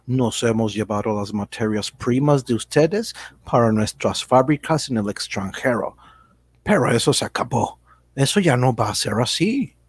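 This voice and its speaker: Actor haciendo de empresario americano zalamero, voz rasposa. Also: male voice, medium pitch, animated, moderate pace, no echo. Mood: smug, persuasive. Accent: American English accent in Spanish. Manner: hesitant, sprinkles English words into Spanish. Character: slick, evasive American businessman selling his multinational company. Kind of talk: satirical radio drama acting.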